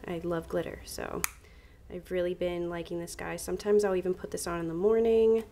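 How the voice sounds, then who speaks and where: A woman's voice: lowish voice, little pitch movement, a little fast, warm tone, slight background noise. Woman with a head cold, nasal. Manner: chatty, enthusiastic, uses fillers like um and like. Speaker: beauty vlogger. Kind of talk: casual product walkthrough. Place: home room with vanity desk.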